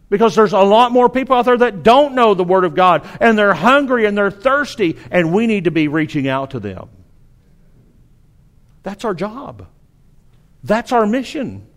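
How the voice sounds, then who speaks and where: A middle-aged man with a Southern drawl from Arkansas delivers a sermon, his voice sitting noticeably high. He exhorts earnestly and often stumbles into restarts as he presses his point. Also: a bit bright. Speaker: preacher.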